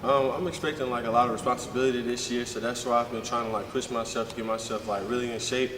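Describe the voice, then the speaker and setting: A teenage male high school basketball player answering hesitantly, filling every pause with "like.". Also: fairly even intonation. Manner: hesitant, leans on "like" constantly. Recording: echoey hall, far from mic, noisy.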